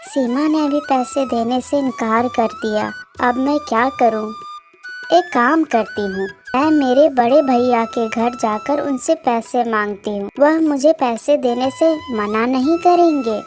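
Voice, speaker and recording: A woman's voice: high-pitched, a little slow, darkish tone, soft background music. A woman playing a poor, humble young village wife in a cartoon moral story, earnest and polite. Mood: pondering.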